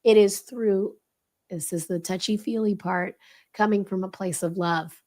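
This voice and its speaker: A woman speaks as a leadership expert in an explanatory interview style. Her mid-pitched voice is a little crackly and strained from voice loss. She is emphatic and instructive, posing rhetorical questions to make her points. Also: steady tone, a little slow, mellow.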